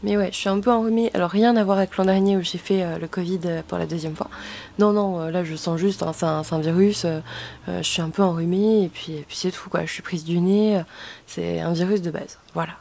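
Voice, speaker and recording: A woman's voice: on the low side, fairly even intonation, a little fast, creaky, mellow, a little hiss or hum. A woman chatting casually to camera as a book vlogger, in a rambling, self-correcting way.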